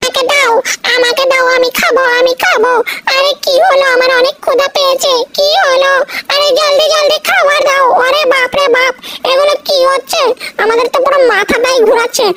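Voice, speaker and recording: A woman's voice: very high-pitched, steady tone, brisk, heavy background noise. A woman voicing a brood of tiny baby rabbits who whine and beg for food over and over. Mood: greedy whining. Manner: whiny, repeats demands over and over.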